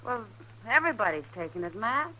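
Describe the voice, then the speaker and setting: A woman's voice: on the high side, sing-song, brisk, sharp tone, heavily acted, poor audio. An actress playing a familiar, teasing, slightly tipsy saloon woman of the frontier in an old radio western. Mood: tipsy, enthusiastic. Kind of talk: 1950s radio western acting. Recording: old radio broadcast, hum and hiss.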